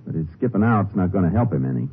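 An actor playing a steady, businesslike Texas Ranger with an American Western accent, speaking laconically in a radio western. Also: male voice, low voice, quick pace, slight background noise. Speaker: lead actor and narrator. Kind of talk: 1950s radio western acting. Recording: muffled old radio, music behind.

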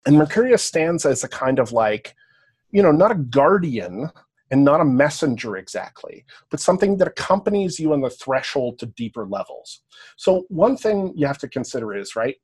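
A Canadian man talks through ideas on a podcast in a loose, lecture-like way. His mid-pitched voice has a husky grain to it. He keeps checking in with "right?" and lets a curse slip now and then. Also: darkish tone. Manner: thinks aloud, often checks in with "right?", occasional swearing. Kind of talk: casual podcast discussion, lecture-like.

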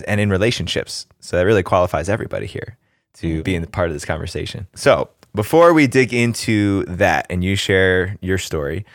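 A man's voice: sits low, dry recording, studio quality. A man from New Jersey hosting a podcast interview, upbeat and brotherly, laughing often. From New Jersey, USA. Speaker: podcast host.

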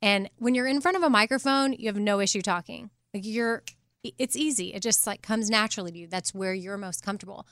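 Woman, podcast interviewer. Smooth clear tone, dry close sound, encouraging and slightly tentative. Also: mid-range voice, quick pace, fairly bright tone, dry recording. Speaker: podcast host interviewing a guest. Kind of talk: casual conversational interview.

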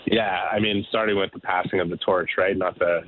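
A man's voice: low-pitched, fairly even intonation, fairly quick, narrow, tinny audio. A man giving conversational sports commentary as a reporter guest, with faint noise on his connection.

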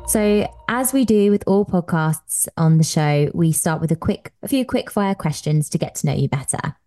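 A woman's voice: low-pitched, animated, moderate pace, warm tone, soft background music. A woman hosting a podcast, reading an upbeat scripted introduction and then chatting with her guest. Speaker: podcast host, dietitian.

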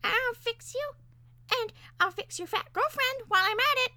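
Storybook talking parakeet, played by a woman: tiny elfin male, very high, whining and spiteful. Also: normal speed, pushed and bright, no echo, full character voice. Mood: threatening, outraged. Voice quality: elfin, squeaky. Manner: exclaiming, whining, chattering.

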